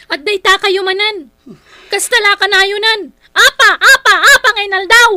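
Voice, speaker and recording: Outspoken, exasperated Filipino daughter, Ilocano accent, high-pitched and bright, radio drama. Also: female voice, fairly even intonation, normal speed, no room sound, studio quality, exaggerated voice. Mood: upset, angry. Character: exasperated, outspoken grown daughter of a troubled family.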